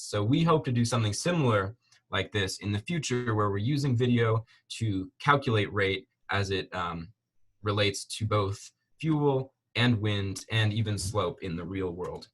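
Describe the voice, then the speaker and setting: A man's voice: on the low side, normal speed. A young man explaining a student project and answering questions as a presenter.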